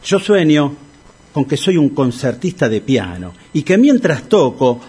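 Theatrical, self-pitying Argentine loser in comic radio drama; husky, swooping delivery. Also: male voice, fairly high pitch, very animated, unhurried, a little mellow, exaggerated voice. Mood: dreamy, wistful. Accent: Argentine Rioplatense. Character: Tito, a melodramatic debt-ridden man pining for his ex-wife.

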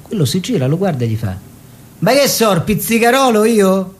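An actor playing a teasing, cultured painter and husband in a radio drama, ironic and theatrical, stretching some words and hurrying others. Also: male voice, sits high, big pitch swings, a little fast. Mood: amused storytelling. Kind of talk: theatrical radio drama, storytelling and bantering dialogue.

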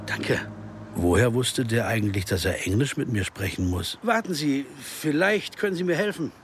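An actor playing a wry, puzzled investigator who narrates a radio crime drama in a terse, musing way. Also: male voice, mid-range voice, very animated, moderate pace, slight background noise, lightly acted. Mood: polite. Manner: musing, terse, asking himself questions.

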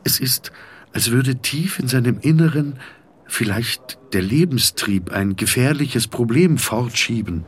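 An actor narrating a literary drama as the weary, introspective inner voice of a middle-aged patient, husky and terse. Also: male voice, mid-range voice, expressive, unhurried. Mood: reflective.

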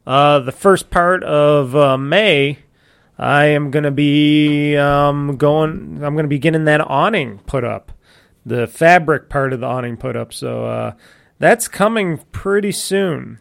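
Gravelly-voiced man hosting a podcast. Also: fairly high pitch, steady tone, measured pace, no echo, studio quality. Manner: rambling, self-correcting, loose and chatty. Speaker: podcast host. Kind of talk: casual solo podcast talk. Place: home studio.

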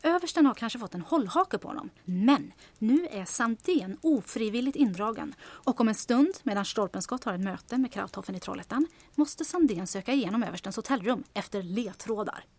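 A woman's voice: on the high side, animated, a little mellow, no echo. An actress playing a resolute, conspiratorial female resistance agent in an audio drama, briefing urgently and matter-of-factly.

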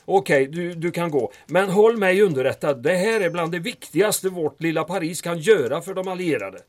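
A man's voice: high voice, expressive. A man playing an anxious town mayor. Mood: worried. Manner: worried, hesitant. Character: anxious middle-aged male town mayor.

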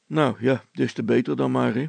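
A man voicing a bossy, confident village constable in a storybook, loud, brisk and commanding. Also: medium pitch, fairly even intonation, creaky, no room sound. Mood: bossy, assured.

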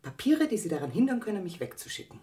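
Actress as an anguished mother, sharply swinging pitch. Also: sing-song, fast talker, mellow, close to an everyday voice. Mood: fearful. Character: anguished, guilt-ridden middle-aged mother, bitter and fearful. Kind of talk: German radio drama acting.

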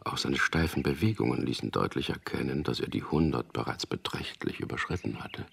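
A man's voice: extremely low pitch, gravelly, a bit bright, breathy edge, exaggerated voice. An actor playing a wry, stubborn man in love who narrates his own story in a radio drama, with a dry, understated delivery. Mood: reflective narration. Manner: dry, understated, teasing, deliberate.

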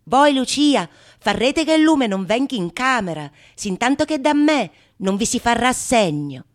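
A woman playing a fierce, lewd, vengeful wife in a Renaissance stage comedy, declaiming emphatically with drawn-out words and gleeful mock passion. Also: a little high, very animated. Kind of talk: theatrical monologue of Renaissance comedy.

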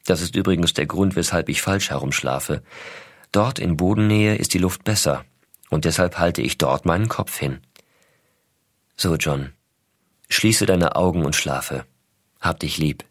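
A man playing an astronaut father reading his letters to his young son, measured and intimate, explaining things simply as to a child. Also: low voice, no room sound, clean studio sound. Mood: calm, hushed. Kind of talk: scripted narration, letters read aloud.

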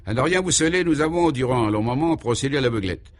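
A man's voice: mid-range voice, lively intonation, fast, pitched above the performer's own voice, natural voice. A man voicing a pompous, exalted inventor who lectures grandiloquently in solemn nonsense jargon for a comic radio serial. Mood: grave, earnest. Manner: grandiloquent, emphatic, oratorical.

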